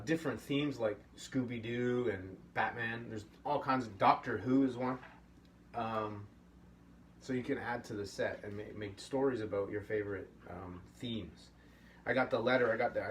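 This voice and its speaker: Easygoing middle-aged man teaching puppetry. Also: mid-range voice. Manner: easygoing, thinks aloud with fillers. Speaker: puppetry workshop host. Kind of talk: casual instructional talk. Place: home workshop room. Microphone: camera mic at a distance. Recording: slightly distant, faint hiss.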